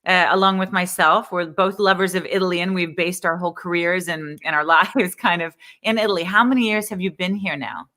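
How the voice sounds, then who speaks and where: A woman's voice: fairly low pitch, fairly even intonation, a little fast, hardly any pauses, pushed and bright. A middle-aged woman hosting a livestream interview as a speaking coach, upbeat and chatty.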